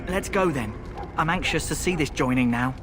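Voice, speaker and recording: An actor playing a blunt, goading and eager recruit in a fantasy game. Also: male voice, on the high side, normal speed, loud music behind the voice, close to an everyday voice. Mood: brash. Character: brash, eager young male warrior recruit.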